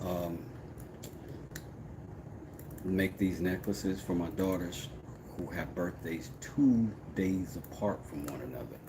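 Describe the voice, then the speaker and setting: A man with a deep, low voice talks casually on a live stream, mixing brash trash talk with loose rambling. His audio carries a clear layer of background noise from his home workshop. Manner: brash, trash-talking, then rambling and relaxed. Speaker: woodworking streamer.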